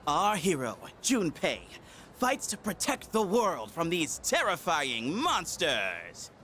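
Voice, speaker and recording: A man's voice: fairly high pitch, huge pitch swings, takes it slowly, sharp tone, a little hiss or hum, heavily acted. An actor voicing a cocky, goofy but earnest teenage boy in a video game, casual and sometimes awkward or theatrically boastful. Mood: mock-heroic. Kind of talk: English video game voice acting.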